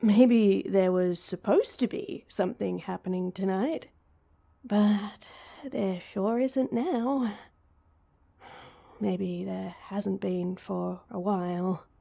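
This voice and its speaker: Woman performing heartbroken young woman, very soft dark tone, creaky voice, hesitant, self-deprecating, intimate audio roleplay. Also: medium pitch, steady tone, slow pace, exaggerated voice. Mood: evasive, resigned. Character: heartbroken, awkward young woman stood up on anniversary date.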